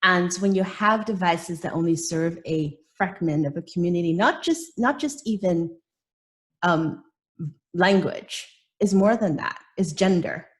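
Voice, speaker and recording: A woman's voice: fairly low pitch, unhurried. A middle-aged woman from Hong Kong with a slight British accent speaks as a panelist on a video call. She reflects with rhetorical questions and restarts her sentences. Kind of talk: webinar panel discussion.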